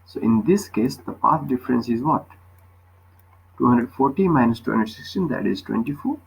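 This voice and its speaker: Guy teaching physics step by step, raspy voice w lots of fry, keeps tossing in little check questions. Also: mid-range voice, lively intonation, normal speed, low-quality recording. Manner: instructional, punctuated with short check questions. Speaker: physics tutor. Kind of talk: step-by-step worked problem explanation.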